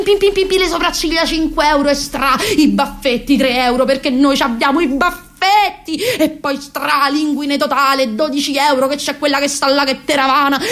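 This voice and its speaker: Roman woman around thirty doing satirical radio monologue, highish voice, very expressive, ironic and fed up. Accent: Roman Italian. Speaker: comedic monologue performer.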